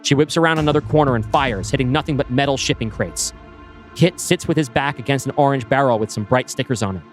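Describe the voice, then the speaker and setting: A man reading his screenplay aloud, with brisk scene-setting narration. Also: mid-range voice, soft background music. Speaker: writer pitching a screenplay.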